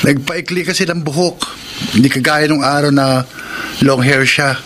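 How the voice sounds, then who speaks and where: A man's voice: fairly high pitch, fairly even intonation, measured pace, close to an everyday voice. An actor playing Dino, a shy young man in a radio drama, talking in a hesitant, bashful way. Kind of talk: Filipino radio drama acting. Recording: audible background noise.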